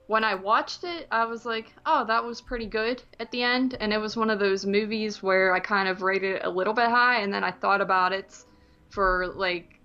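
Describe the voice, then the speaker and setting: A woman's voice: medium pitch, normal speed, sharp tone, clear, resonant tone, highs cut off. A woman co-hosting a movie podcast in casual, rambling conversation, hedging as she goes.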